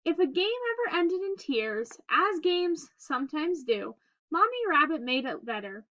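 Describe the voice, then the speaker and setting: A woman reading a children's story aloud in an expressive, simple storytelling manner. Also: high voice, measured pace, lo-fi sound. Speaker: read-aloud host reading a picture book.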